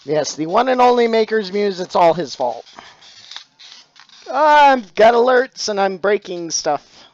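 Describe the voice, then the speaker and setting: A man's voice: high-pitched, sing-song, measured pace, lo-fi sound. A middle-aged man, chatting casually on a live stream about his craft, full of dad jokes and thanks to followers. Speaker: stained glass streamer.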